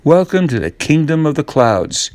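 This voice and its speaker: A man's voice: on the high side, very animated, normal speed, a little mellow, no echo. A man voicing a kindly, wise female fairy in a children's storybook. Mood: kind, solemn.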